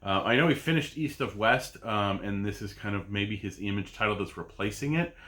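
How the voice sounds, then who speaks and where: Bright-voiced man chatting about comics. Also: fairly low pitch, lively intonation, a little fast. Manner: rambling and chatty, with many fillers and restarts. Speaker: comic book podcast host. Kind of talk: casual review of a weekly comic pull list. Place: home basement.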